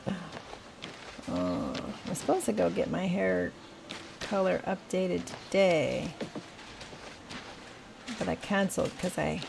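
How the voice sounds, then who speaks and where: An older woman with a scratchy voice, slowly thinking aloud as she plays a video game. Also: fairly low pitch, creaky. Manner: thinking aloud, slow and musing. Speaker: gaming channel host. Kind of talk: casual gameplay commentary. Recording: steady rain noise behind. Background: rain.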